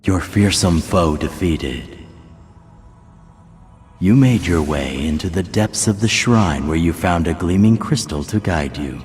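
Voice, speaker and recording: Casting: mysterious herald of prophecy in a fantasy game, deep and unhurried, solemn and grand. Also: male voice, lively intonation, a little mellow, faint music behind. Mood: solemn storytelling. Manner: portentous, deliberate, formal. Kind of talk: video game cutscene narration.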